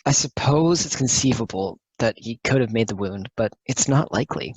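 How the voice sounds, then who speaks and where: Streetwise, excitable juror, played by an amateur actor, husky voice, amateur audio drama. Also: mid-range voice, moderate pace, rough recording. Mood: explaining. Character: excitable juror from a rough neighborhood.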